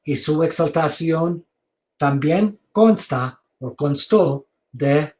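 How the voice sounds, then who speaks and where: Slow, halting foreign-man lecturing. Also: a little high, expressive, a little mellow. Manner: slow and halting, repeats words, invites questions. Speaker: seminary lecturer. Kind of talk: online theology lecture. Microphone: video call.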